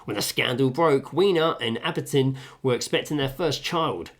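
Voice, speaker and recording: A man co-hosting a casual podcast from a home kitchen, reading out stories and chatting, animated and sweary. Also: mid-range voice, moderate pace. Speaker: podcast co-host.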